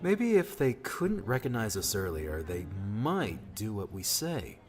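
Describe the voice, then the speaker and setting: Man as a wry, animated young adventurer. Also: fairly low pitch, a little slow. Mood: wry, teasing. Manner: casual, teasing. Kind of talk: audiobook character dialogue.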